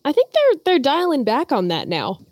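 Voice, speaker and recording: A young woman co-hosts a casual podcast and trades jokes with her partner. She is recovering from a head cold. Her voice sits fairly high and jumps around a lot, recorded dry and close. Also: huge pitch swings, moderate pace, dry recording. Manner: playful and teasing. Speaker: podcast co-host. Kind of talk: casual banter.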